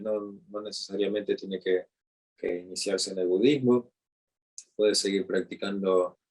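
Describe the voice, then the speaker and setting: Slow, halting Argentine man teaching. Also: lowish voice, darkish tone, low-quality recording. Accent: Argentine Spanish. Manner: slow, halting, with frequent fillers and restarts. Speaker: meditation teacher. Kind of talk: unscripted teaching talk.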